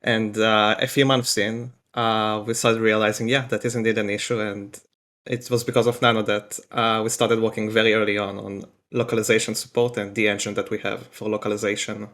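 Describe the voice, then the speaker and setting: A man, a game developer guest on a podcast, talking in a relaxed way with many pauses. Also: fairly low pitch. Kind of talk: casual podcast interview.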